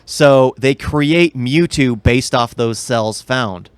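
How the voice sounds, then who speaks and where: A man's voice: medium pitch, slow pace, smooth, no room sound. A man co-hosting a podcast, chatting casually about movies and explaining things like an enthusiastic fan in informal slang. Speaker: podcast co-host.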